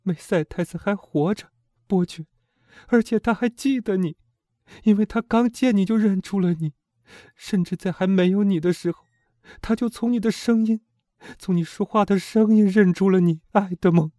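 Man voicing a pleading noblewoman in falsetto. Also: moderate pace, mellow, dry recording, studio quality, lightly acted. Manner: high, strained, imploring falsetto. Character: desperate middle-aged noble mother, pleading.

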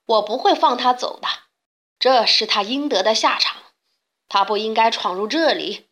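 A woman's voice: fairly high pitch, moderate pace, fairly bright tone, lightly acted. Woman putting on a fierce, growling storybook beast. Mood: cold. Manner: growling, commanding. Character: fierce, cold, menacing male beast in a castle. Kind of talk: children's storybook reading.

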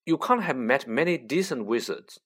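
A woman's voice: a bass voice, expressive, normal speed, slightly rough, full character voice. A woman voicing a polite, nervous young boy, hesitant and well-meaning, in an audiobook. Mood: apologetic. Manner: hesitant, courteous.

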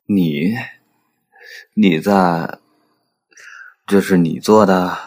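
A man's voice: low-pitched, steady tone, drawn-out words, a little mellow, exaggerated voice. A man acting as a stern, moody, embittered head chef in an audio drama. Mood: suspicious, commanding.

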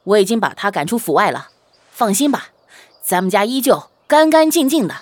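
A woman's voice: medium pitch, sing-song, brisk, slight background noise, lightly acted. A woman playing a doting, roguish young husband in a Chinese period romance audio drama, with a soothing manner. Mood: playful, reassuring. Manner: soothing, hesitant, playfully boastful.

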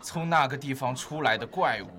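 A man's voice: medium pitch, brisk, a bit bright, lightly acted. A man playing a cocky young bully in an amateur radio drama, taunting and swaggering. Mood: mocking.

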